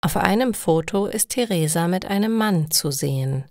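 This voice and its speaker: A woman's voice: on the low side, animated, normal speed, a little mellow, no echo, clean studio sound. A woman reading scripted stories for language learners as a narrator. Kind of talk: slow, clearly read scripted story narration.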